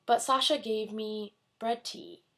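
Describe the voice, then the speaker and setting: A woman's voice: on the high side, steady tone, unhurried, a bit bright. A woman voicing a neglected but kindly talking dog from a storybook, in a plain, frank manner. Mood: reproachful.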